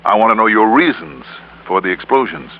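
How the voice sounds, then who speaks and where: An actor playing a tough, composed insurance investigator with dry, pointed questioning in a 1950s radio detective drama. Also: male voice, on the low side, expressive, a little fast, creaky. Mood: controlled, stern. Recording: muffled old radio recording, faint hiss.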